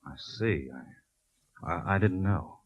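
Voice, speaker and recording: An actor playing a cautious, level-headed man, reluctantly suspicious, reasoning in measured 1950s radio style. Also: low voice. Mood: taken aback. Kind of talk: 1950s radio drama acting. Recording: muffled 1950s radio broadcast recording.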